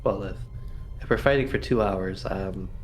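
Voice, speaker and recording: A man chatting casually as a player in a tabletop roleplaying game, thinking out loud in a hesitant, musing way. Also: deep voice, moderate pace. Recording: music playing faintly behind. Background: background music.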